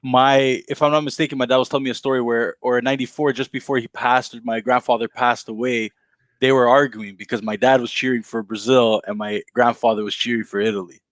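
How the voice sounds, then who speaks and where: A man's voice: mid-range voice, fairly even intonation, fairly quick, dry recording. A man on a podcast rambling through a family story in casual chat, with frequent restarts. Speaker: podcast co-host.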